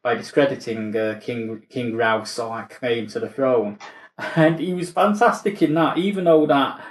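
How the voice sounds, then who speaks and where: A man chatting casually about film and TV as a podcast host, with a rambling, halting delivery. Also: medium pitch. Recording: roomy, echoey voice recording.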